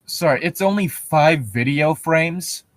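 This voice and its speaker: A man's voice: fairly high pitch, expressive, unhurried, no echo. A man co-hosting a podcast in a casual, comedic way, picking things apart with incredulous, profane commentary. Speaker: podcast co-host reading and commenting on a horror story.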